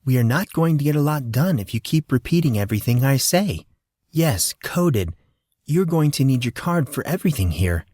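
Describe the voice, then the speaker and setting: A fussy, doting guardian woman who gets excited far too easily, as a male narrator puts her on in a storybook reading. Her voice is pitched well above the narrator's own, lively and bouncing, with a dry, close sound. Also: normal speed, dry recording, close to an everyday voice. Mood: absent-minded.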